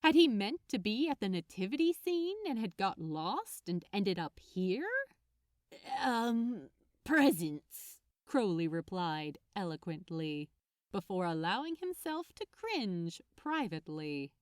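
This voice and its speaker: Slow, very expressive woman storyteller. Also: mid-range voice, dry recording, studio quality. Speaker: audiobook narrator. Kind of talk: expressive scripted fiction narration.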